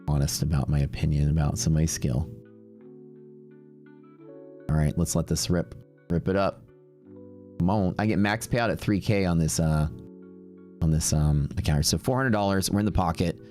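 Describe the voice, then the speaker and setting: A man's voice: rumbling low, darkish tone. A man with a Texas accent, streaming live day trading and chatting casually and brashly with his viewers. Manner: casual, brash, talks to chat.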